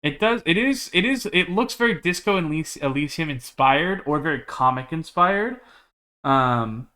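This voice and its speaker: A man's voice: a little high, animated, moderate pace, sharp tone. Chatty man streamer greeting his chat. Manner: chatty, rambling, with many fillers and restarts. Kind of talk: casual chat with a live stream chat.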